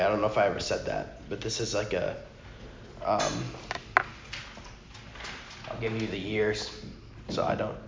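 A man whose voice is hoarse and nearly gone teaches an informal church class, speaking quietly and rambling with many restarts. The recording is muffled and roomy, with a faint hiss behind him. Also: on the low side. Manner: rambling, self-correcting, talks softly. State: hoarse, lost his voice. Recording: muffled, echoey room with faint noise.

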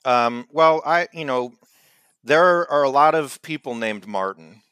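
A man co-hosting a podcast, rambling and teasing with halting restarts in casual comedic chat. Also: on the high side, lively intonation, sharp tone, smooth, no room sound. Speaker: podcast co-host.

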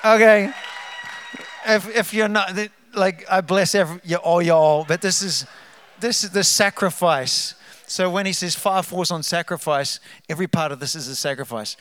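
A man with a New Zealand accent, a guest speaker telling testimonies at a church, animated and rousing the congregation. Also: high-pitched, normal speed, clean tone. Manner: animated, hypes up the crowd, trails off into asides. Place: church sanctuary.

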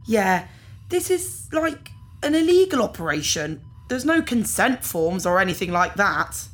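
A woman's voice: mid-range voice, very animated, measured pace. A woman playing a casual, apologetic organ harvester in a science fiction audio drama, talking in an awkward, nonchalant way. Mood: matter-of-fact, apologetic. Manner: nonchalant, hesitant, politely awkward.

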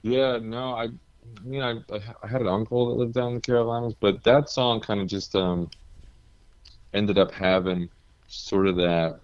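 A low-pitched, deep-voiced man from New Jersey, chatting as a guest and telling stories with easy laughs. The audio is dull and a bit muffled, with a dry, close sound. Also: steady tone, normal speed, darkish tone, smooth, dry recording. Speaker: guest musician. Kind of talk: casual interview chat.

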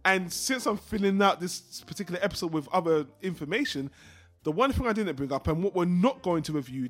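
A man's voice: on the high side, fairly bright tone. A man hosting a casual film discussion podcast, talking in a rambling, digressive and opinionated way. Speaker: podcast host.

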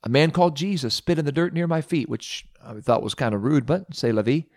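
A man's voice: a little high, measured pace, dry recording, studio quality, voice pushed up. A man voicing a joyful, wisecracking beggar who was blind, in a storytelling sermon. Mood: excited, cheeky. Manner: breezy, wisecracking, excited.

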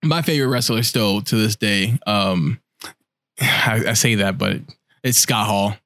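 A man's voice: on the low side, a little slow, a bit bright. A man co-hosting a podcast about wrestling, swearing freely and gushing about his favorite wrestlers in loose, laughing casual chat. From United States. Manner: profane, enthusiastic, laughing often. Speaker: podcast co-host.

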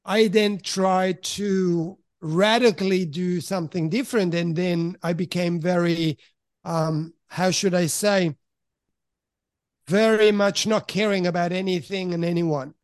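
A middle-aged man from Italy, raised in Switzerland, frankly telling his life story as a podcast guest and often pausing to search for words. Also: sits high, steady tone, no room sound, clean studio sound. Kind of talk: candid personal storytelling in conversation.

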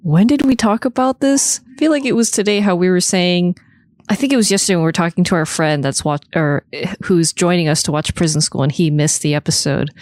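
A woman's voice: on the low side, a little fast, darkish tone, no echo, studio quality. A woman chatting casually and swearing as a podcast panelist.

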